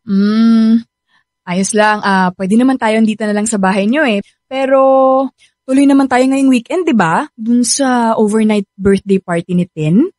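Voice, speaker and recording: High-voiced young Filipina girlfriend, played by an actress. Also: unhurried, darkish tone, no echo, clean studio sound, lightly acted. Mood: let down. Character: young woman, affectionate, playful, easily hurt girlfriend. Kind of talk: Filipino radio drama acting.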